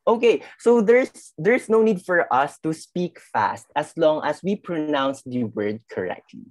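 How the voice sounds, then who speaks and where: A woman's voice: sits low, lively intonation, measured pace, a little mellow, no room sound. A woman from the Philippines teaching beginner Japanese in a mock interview over a video call, patiently repeating phrases and encouraging her student.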